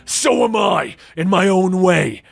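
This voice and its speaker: A man's voice: sits high, lively intonation, takes it slowly, creaky, no room sound, pitched above the performer's own voice, heavily acted. A man voicing a grim, defiant superhero in a curt manner for a comic-book story. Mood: resolute.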